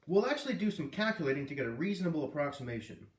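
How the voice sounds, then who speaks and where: A man's voice: a little high, big pitch swings, rapid-fire. Man as a firm male schoolteacher, roomy sound. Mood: encouraging. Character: calm, encouraging, firm male schoolteacher in a children's novel. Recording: roomy, distant microphone.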